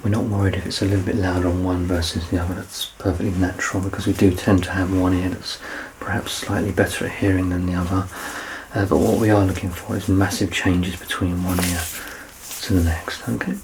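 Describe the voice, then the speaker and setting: A man in his thirties doing an ASMR medical exam roleplay as a doctor, soft-spoken, reassuring and explanatory. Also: sits low, little pitch movement, a little fast, noisy background. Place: small curtained indoor room.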